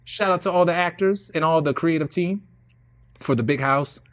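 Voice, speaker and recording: A man's voice: a little high, normal speed, a bit bright. A man in casual, self-promoting chat, talking in hype slang and repeating phrases for emphasis. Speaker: playwright and performer.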